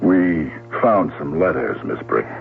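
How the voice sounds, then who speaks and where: Dry, methodical cop, gravel-voiced with lively swings of pitch, vintage radio acting, narrow humming recording. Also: male voice, unhurried, faint music behind, exaggerated voice. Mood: accusing. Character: gruff, methodical male police detective, probing and dry. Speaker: actor in a radio mystery. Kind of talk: 1970s radio drama acting. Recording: tinny, humming old radio broadcast recording.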